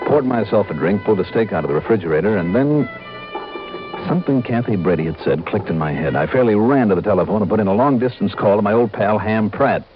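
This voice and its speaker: Male actor as shrewd American private investigator: low voice, clipped and wry, golden-age radio sound, muffled, music underneath. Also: lively intonation, fast talker, natural voice. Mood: wry, musing. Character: brisk, shrewd insurance investigator telling his own case. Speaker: lead actor and narrator. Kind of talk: mid-century American radio detective acting, first-person narration. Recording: muffled vintage radio recording, organ music.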